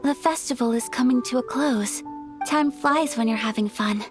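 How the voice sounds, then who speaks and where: A woman's voice: on the high side, normal speed, natural voice. A woman voicing a refined, gracious young noblewoman who speaks in formal, courteous phrasing. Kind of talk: game dialogue. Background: game music.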